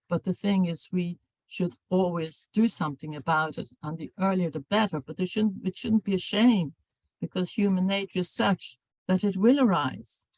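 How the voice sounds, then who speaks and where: An older woman, a professor, giving an academic seminar lecture in a measured, formal way, often correcting herself mid-sentence. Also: on the low side, darkish tone, dry recording, low-quality recording. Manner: measured, formal delivery with frequent self-corrections. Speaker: professor and anti-bullying researcher.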